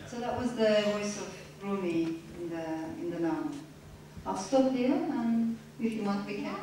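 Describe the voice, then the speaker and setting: A woman reads aloud and then talks in a measured, reflective way, pausing now and then to restart a thought. She speaks at a middle pitch. She is caught from a distance in a very echoey lecture room with a little background noise. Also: expressive. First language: Turkish. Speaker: author at a reading. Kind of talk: reading aloud then answering questions.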